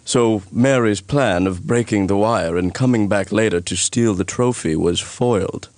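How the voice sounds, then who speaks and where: A man's voice: lowish voice, a little slow, a little mellow, dry recording, studio quality, natural voice. A man voicing a self-satisfied wealthy cartoon estate owner, speaking in a smug, precise way. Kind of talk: animated courtroom cartoon voice acting.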